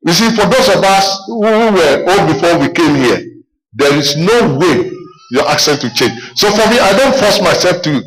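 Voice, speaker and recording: High-voiced Ghanaian preacher. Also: male voice, moderate pace, poor audio. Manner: animated storytelling, repeats himself, calls "Amen". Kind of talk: sermon with personal stories.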